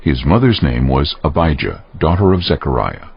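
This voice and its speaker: A man narrating scripture from a script in a solemn, measured, dramatic storytelling style. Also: extremely low pitch, very animated, vocal fry, slightly rough. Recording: dull lo-fi audio, faint music underneath.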